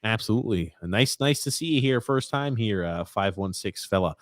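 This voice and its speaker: A man hosting a live game watchalong podcast, excitable and reading viewer comments aloud. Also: fairly low pitch, normal speed, no room sound. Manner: excitable, swearing, reads viewer chat. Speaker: podcast host.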